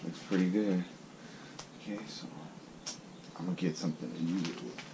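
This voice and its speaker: A man's voice: low-pitched, fairly even intonation, moderate pace. A man giving a casual hands-on tool review in an offhand way, muttering short fragments. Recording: loud ambient machine noise.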